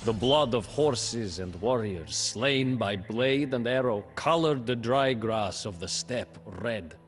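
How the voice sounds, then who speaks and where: An actor narrating a game's historical campaign as a male chronicler, in slow, measured scripted storybook narration. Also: medium pitch, lively intonation. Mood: grave. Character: male captive chronicler telling a war tale. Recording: studio narration over faint music.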